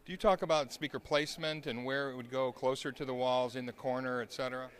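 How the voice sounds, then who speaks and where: A man's voice: mid-range voice, fairly even intonation, quick pace. A man hosting an on-location interview, putting questions to a guest. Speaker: interviewer, host.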